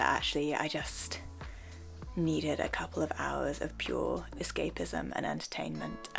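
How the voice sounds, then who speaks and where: A woman's voice: low-pitched, gravelly. A young woman with an English accent chatting casually and enthusiastically to camera as a vlogger.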